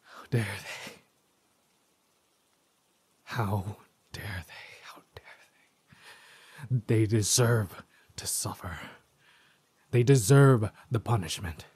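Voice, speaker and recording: A man performs a tormented villain spirit speaking through a possessed body in an audio roleplay. The voice is dark and mellow with a breathy husk. He speaks slowly and theatrically, with deliberate menace, close and dry. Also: lowish voice, dry recording, full character voice. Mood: seething rage. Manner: theatrical, slow and deliberate, dropping into whispers. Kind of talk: scripted dramatic audio roleplay monologue.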